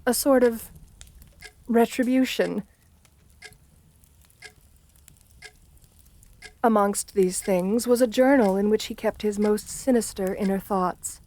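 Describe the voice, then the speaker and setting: An assertive, seductive countess with an air of the vampire about her, played by a woman. Her voice sits at a medium pitch for a woman. She speaks intimately, as in an ASMR roleplay, flirtatious and soothing with genteel poise. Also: steady tone, a little slow, warm tone, lightly acted. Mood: storytelling, sinister.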